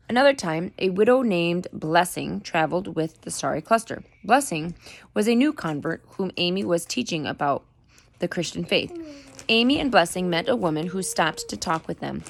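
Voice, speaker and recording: A woman reading a book aloud in a deliberate storytelling manner, with an occasional stumble over the words. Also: fairly low pitch, vocal fry. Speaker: reader. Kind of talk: book read-aloud narration.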